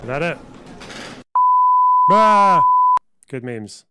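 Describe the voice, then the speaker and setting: A man's voice: a little high, big pitch swings, extremely slow, very noisy. A man giving blunt, wry, sweary commentary on news during a live stream.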